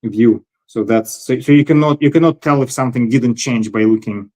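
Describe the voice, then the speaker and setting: A man's voice: medium pitch, normal speed, a little mellow. A man hosting a casual technical discussion, thinking aloud tentatively and repeating words as he goes. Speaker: host.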